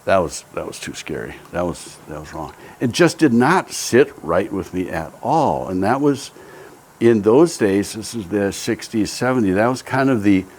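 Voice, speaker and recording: A man's voice: lowish voice, animated, normal speed, darkish tone, a little hiss or hum. A man in his seventies who grew up in Japan tells his life story in a reflective, hesitant way, with many ums and restarts.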